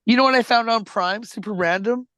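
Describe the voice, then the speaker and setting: A man's voice: high-pitched, brisk, clean tone, dry recording, studio quality. A man with an Albertan Canadian accent, co-hosting a podcast in crude, casual chat, swearing freely and laughing often. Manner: profane, laughs often, animated storytelling. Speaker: podcast co-host.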